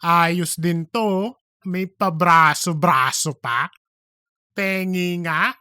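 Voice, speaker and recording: A woman's voice: lowish voice, drawn-out words, bright, forceful tone, clean tone, dry recording, studio quality, voice pushed down, full character voice. A woman playing a cheeky, casual, upbeat office colleague in an audio story. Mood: teasing. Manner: casual, teasing.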